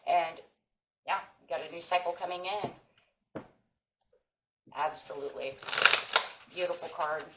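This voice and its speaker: A woman with a hoarse voice from a sinus infection, giving a casual tarot reading and speaking encouragingly to viewers as friends. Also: on the low side, unhurried, pauses often, reverberant, very noisy. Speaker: tarot reader. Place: table with tarot cloth, indoors.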